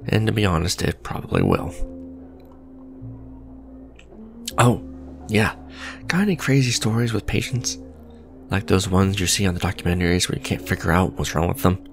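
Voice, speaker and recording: A man playing a smug, suave villain businessman in a romantic ASMR audio drama, sardonic and conversational, teasing, with a low gravelly voice. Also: sing-song, fairly quick, soft background music, full character voice. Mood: flirty, teasing. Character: smug male villain, suave luxury fashion businessman on a date.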